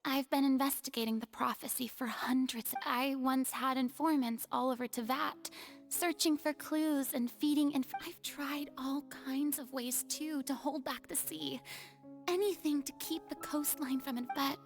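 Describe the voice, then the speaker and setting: A woman's voice: high-pitched, steady tone, normal speed. An actress playing a proud young female god in a video game, pompous and dramatic, with formal phrasing and a weary side. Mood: weary, resigned. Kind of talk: scripted video game acting. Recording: background music under the voice.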